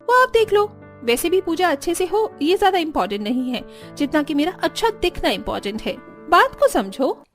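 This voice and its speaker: A woman's voice: very high voice, big pitch swings, moderate pace, soft background music. A woman voicing a spoiled, vain young daughter-in-law in a cartoon, whiny and self-important. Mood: fussy, vain. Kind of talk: Hindi cartoon voice acting.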